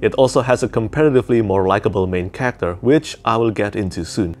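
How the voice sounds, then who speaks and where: An earnest man in his thirties, a native Indonesian speaker, talks through a scripted book review with careful, precise diction. His voice sits a little low, and he sounds keen and sincere. He is recorded on a small lapel mic in a room at home. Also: darkish tone.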